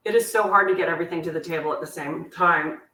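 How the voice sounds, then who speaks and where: Woman host, slightly low and squeaky voice, chatty cooking-class teacher nursing a sore back, recorded at a distance in an echoey kitchen. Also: fast, a little hiss or hum. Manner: chatty, warm-hearted asides, laughs at herself. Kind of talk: live online cooking class, answering viewer questions. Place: home kitchen. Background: clinking cutlery and dishes.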